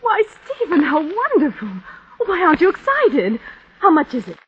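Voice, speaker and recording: An actress playing a curious, eager wife who talks in a chirpy, pressing way, in 1940s radio drama style. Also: female voice, very high-pitched, huge pitch swings, moderate pace, full character voice. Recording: muffled old radio broadcast with hiss.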